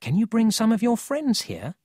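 A narrator voicing an earnest, anxious young country boy in a children's storybook, quick to stand up for himself. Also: medium pitch, huge pitch swings, warm tone, husky, dry recording, studio quality, pitched above the performer's own voice. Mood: worried, hopeful.

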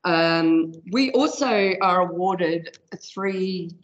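A woman giving a conference talk in an informal, loud-voiced way, with frequent fillers. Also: on the low side, extremely slow, dull audio. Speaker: conference presenter, software product specialist. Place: conference room.

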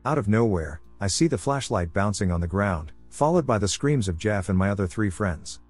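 Guy with a lowish voice reading creepy stories slow and steady, quiet music in the back. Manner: low, measured storytelling with dramatic pauses. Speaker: narrator. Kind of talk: scripted horror story narration. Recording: soft music underneath.

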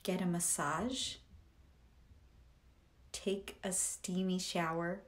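A woman reading scripted guided meditation affirmations in a deliberate, measured way, in short phrases with pauses. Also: on the low side, steady tone.

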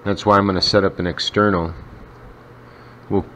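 A man's voice: low-pitched, flat delivery, normal speed, a bit bright. A middle-aged man giving a casual hands-on demonstration indoors, talking as he works. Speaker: vintage radio hobbyist demonstrating a repair. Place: indoors in a home kitchen. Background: radio playing and static.

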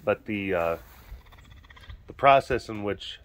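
Rambling, slightly hoarse man outdoors. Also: fairly low pitch, lively intonation, slow pace. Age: adult, getting older. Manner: rambling and chatty, softening into baby talk with the animals. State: slightly hoarse, partly lost voice. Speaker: big cat sanctuary keeper and vlogger. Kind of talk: casual vlog narration talking to animals. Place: outdoors at animal enclosures. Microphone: handheld camera mic, speaker off camera.

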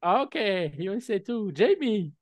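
A man's voice: sits high, sing-song, a little slow, no echo. A man co-hosting an online meeting, talking with many fillers and restarts over a video call. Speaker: meeting co-host.

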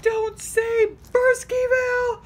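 A woman's voice: extremely high pitch, little pitch movement, slow, pitched above the performer's own voice. A woman voicing a grumpy, complaining male bus driver in a storybook, whining and grumbling. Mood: whiny. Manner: whiny, grumbling.

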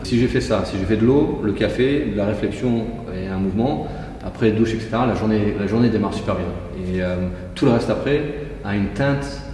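A man's voice: lowish voice, brisk, dark tone, slight background noise. A man in his forties, a guest in a casual interview, explaining his routine methodically step by step. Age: 40s. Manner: methodical, talks it through step by step. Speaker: guest, gym entrepreneur. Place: large indoor gym hall. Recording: echoey gym hall from far off.

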